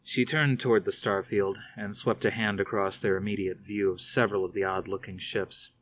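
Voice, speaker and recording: A man narrating a science fiction novel aloud in a steady, plain reading voice. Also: on the low side, moderate pace, a little hiss or hum. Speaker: audiobook reader.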